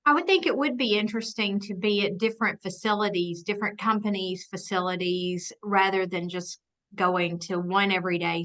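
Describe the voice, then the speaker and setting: Bright-voiced woman interviewer. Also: medium pitch, low-quality recording. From Alabama. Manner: friendly, encouraging questions. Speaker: interviewer, association president. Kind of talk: conversational interview.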